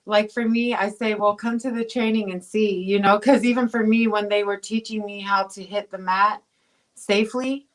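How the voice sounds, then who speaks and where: A woman's voice: mid-range voice, fairly even intonation, moderate pace, poor audio. Woman from Tonga, rambling talk. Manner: rambling, many fillers and restarts. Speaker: guest, pro boxer. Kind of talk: casual interview chat.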